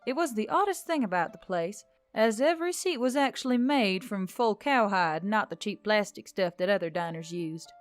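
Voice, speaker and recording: A woman's voice: mid-range voice, lively intonation, normal speed, hardly any pauses, faint music behind. A woman reading scripted fiction as an audiobook narrator.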